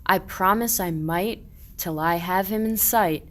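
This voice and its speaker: A woman's voice: lowish voice, unhurried, close to an everyday voice. A woman playing an earnest, forthright shepherd, declaiming archaic rhymed verse in a radio play. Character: earnest, forthright shepherd searching for a lost sheep.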